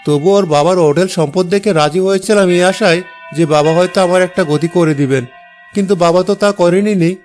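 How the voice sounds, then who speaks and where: A man's voice: high voice, fast, darkish tone, faint music behind, lightly acted. A man voicing a two-faced, opportunistic young husband in a cartoon, with an ingratiating manner. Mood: conspiring. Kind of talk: Bengali cartoon dialogue.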